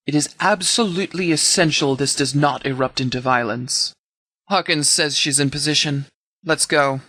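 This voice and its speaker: A man's voice: on the high side, fairly bright tone, no room sound, studio quality, close to an everyday voice. A man playing a stern, blunt, battle-weary military leader in a video game, with a firm, clipped, authoritative delivery. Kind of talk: video game dialogue acting.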